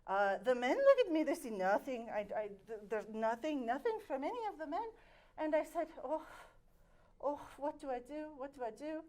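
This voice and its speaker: A woman's voice: high-pitched, sing-song, moderate pace, darkish tone. A woman playing a vain aristocratic lady with a French accent, telling a dramatic story with many fillers. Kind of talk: improvised comedy scene.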